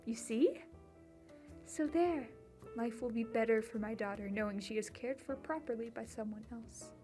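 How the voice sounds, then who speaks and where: Casting: woman as cold, snobbish society matron, middle-aged, condescending, higher-pitched, music underneath. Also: dark tone, natural voice. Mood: wistful, controlled. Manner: condescending, deliberate. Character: haughty middle-aged upper-class mother, coldly disapproving. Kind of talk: dramatic monologue. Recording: music bed behind voice.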